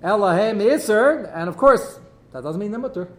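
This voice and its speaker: A man teaching a Torah class in a lively way, reading out Hebrew texts and explaining them to students. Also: high-pitched, normal speed, reverberant. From United States.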